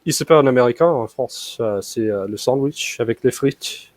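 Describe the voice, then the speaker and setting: A man's voice: medium pitch, normal speed, darkish tone, dry recording. A young American man talks on a video call in learner French with a strong American accent. He hesitates often, filling gaps and restarting his sentences. His voice is dry and close, in a mid range. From United States. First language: English. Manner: hesitant, many fillers and restarts. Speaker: language learner. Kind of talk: casual conversation practice.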